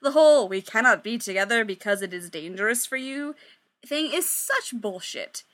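A woman's voice: on the high side, lively intonation, moderate pace, a bit bright. A woman voicing a young male bandmate who talks in a casual, blunt way. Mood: dismissive.

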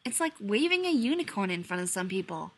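A man's voice: high-pitched, very animated, fairly quick, heavily acted. A woman voicing a laid-back male air force colonel who drawls his words and keeps a dry tone. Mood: wry.

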